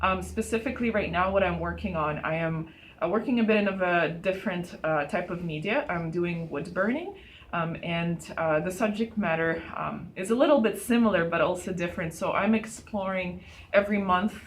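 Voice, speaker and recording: A young woman artist speaking in an interview at a gallery, explaining her artwork with frequent pauses and fillers. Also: fairly low pitch, a little hiss or hum. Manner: hesitant, many ums and uhs. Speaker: exhibiting artist. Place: art gallery, indoors.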